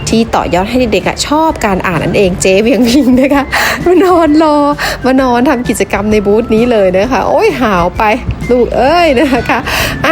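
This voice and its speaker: A woman in her thirties, a mother, chatting to viewers in a polite, upbeat voice-over about a children's event. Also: on the high side. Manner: chatty, addresses viewers as everyone, polite ending particles. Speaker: vlogger and mother. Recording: voice-over over loud background music. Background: background music.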